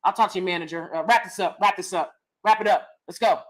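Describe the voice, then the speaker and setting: A young adult woman hosting a casual commentary vlog, loud and blunt, cursing freely. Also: fairly low pitch, quick pace. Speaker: vlog host.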